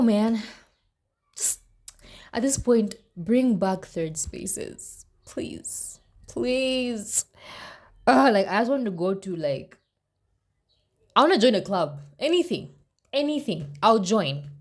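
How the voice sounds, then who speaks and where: A young woman from Zambia, chatting casually to camera in her vlog, expressive and pleading at times. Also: mid-range voice. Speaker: vlogger.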